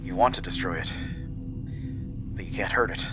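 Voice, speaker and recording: An actor playing a frightened, confused male prisoner in a horror audio drama, halting and stammering as he speaks. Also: low voice, music in the background, close to an everyday voice. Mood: wary, defiant.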